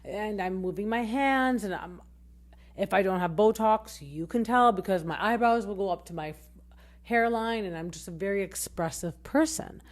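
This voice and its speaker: A woman in her thirties hosting a casual podcast recap, very expressive, her voice swinging high and low as she rambles with fillers and restarts. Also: normal speed. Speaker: podcast host.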